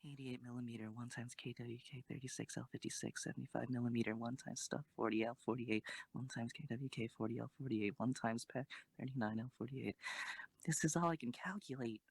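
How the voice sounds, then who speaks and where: A woman's voice: a bass voice, fairly even intonation, fairly quick, creaky, husky, dry recording, heavily acted. A woman playing a modest, reluctant teenage girl who commands a tank in an amateur audio drama. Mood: grave.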